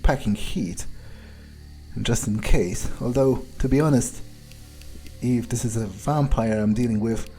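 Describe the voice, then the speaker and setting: Crude, cocky male vampire played by a man for game roleplay: slow, dark-toned, profane muttering over faint music. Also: medium pitch. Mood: musing. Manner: profane, muttering asides to himself. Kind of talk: improvised in-character game playthrough.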